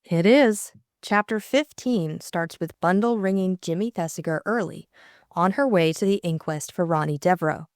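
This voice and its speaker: A woman's voice: on the low side, moderate pace, darkish tone, no room sound, studio quality. A woman reading a scripted mystery recap and novel as an audiobook co-narrator, in dramatic style.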